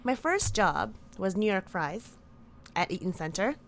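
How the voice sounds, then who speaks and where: A woman's voice: medium pitch, very animated, a little slow, slight background noise. A woman in her thirties, born in the Philippines, answering interview questions in a chatty way that often breaks into laughter. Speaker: podcast guest.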